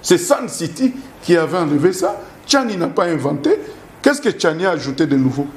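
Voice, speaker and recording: A man with a fairly high voice and a Congolese French accent, recorded in a roomy, echoing space. He debates politics as an interview guest, insistent and argumentative, hammering points home through repetition and rhetorical questions. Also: very animated, fairly quick.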